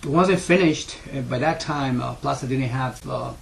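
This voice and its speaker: Man, 40s, from Ecuador, heavy Spanish accent; earnest, halting interview storyteller, faint background noise. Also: mid-range voice, creaky. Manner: earnest, halting with many fillers and restarts. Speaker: interviewee, school owner.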